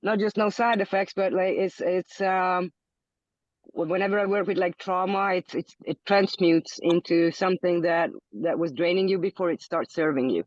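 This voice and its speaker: A woman's voice: lowish voice, fairly even intonation, normal speed, no echo, dull audio. A woman from Hungary, a podcast guest in casual interview talk, searching for words and repeating them as she thinks.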